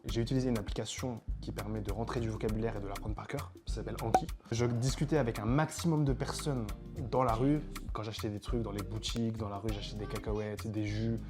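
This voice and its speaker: Fast-talking French man, easygoing explainer. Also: fairly low pitch, over loud music. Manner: relaxed, explanatory, says "tu vois" often. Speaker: host, Wolof teacher. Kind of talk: casual chat.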